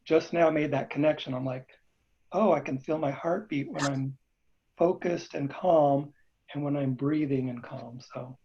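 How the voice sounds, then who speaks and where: A man's voice: a little high, unhurried. Man with a dull lo-fi call line. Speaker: workshop participant. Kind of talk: casual sharing on a video call. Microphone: video call. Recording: dull lo-fi video call audio.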